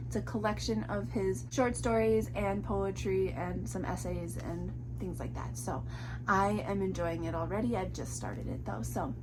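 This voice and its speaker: A woman's voice: medium pitch, background noise. A young woman chatting casually in a book review vlog, rambling with many fillers, her voice a little off from a cold. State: fighting a cold.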